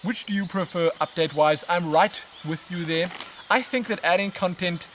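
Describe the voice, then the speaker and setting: A man, casually answering viewer questions in a relaxed, expressive, rambling way as a vlog host. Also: high voice, normal speed. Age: 20s. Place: outdoors on a riverbank in woodland. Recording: outdoor natural background noise, birds. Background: outdoor ambience with birds.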